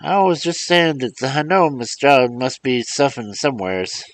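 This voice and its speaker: A man's voice: mid-range voice, animated, normal speed, voice pushed up, natural voice. A man voicing an old male servant who rambles in a drawling, superstitious way. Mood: musing. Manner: drawling dialect speech, wondering. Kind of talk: audiobook character voice.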